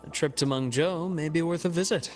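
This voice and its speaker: A man voicing a composed, analytical investigator in a game, speaking in a measured, explanatory way. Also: fairly high pitch, soft background music, close to an everyday voice. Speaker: game character. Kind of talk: game cutscene voice acting.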